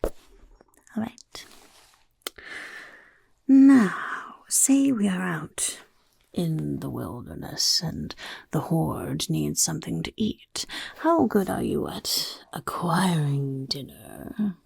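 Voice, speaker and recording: A woman voicing a scheming little goblin overlord who interviews recruits in a soft-spoken ASMR roleplay, whispering smugly. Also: low voice, very animated, takes it slowly, pauses often, full character voice. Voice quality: gravelly, breathy whisper. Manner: whispery, smug, mischievous questioning. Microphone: close ASMR mic.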